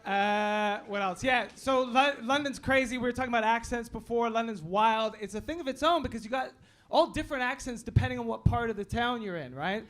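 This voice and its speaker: A man's voice: very high-pitched, fairly quick, fairly bright tone. A Canadian man with a Canadian accent doing stand-up on a club stage, rambling and riffing with the audience. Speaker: stand-up comedian. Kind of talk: stand-up set with crowd work. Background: audience laughing.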